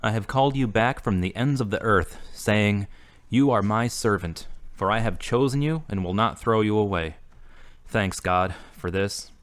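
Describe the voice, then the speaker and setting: A man playing an earnest, moody man returned to his faith, measured and subdued, in an audio drama. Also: lowish voice, close to an everyday voice. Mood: earnest, prayerful. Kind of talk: hobby audio drama acting.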